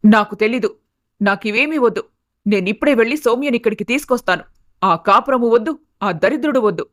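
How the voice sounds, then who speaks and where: A woman acts out a middle-aged, status-obsessed mother-in-law from an animated melodrama. Her voice sits fairly high and drips with indignation and sarcasm as she scolds and accuses. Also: fairly quick, close to an everyday voice. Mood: indignant, defensive. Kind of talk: melodramatic animated family story acting.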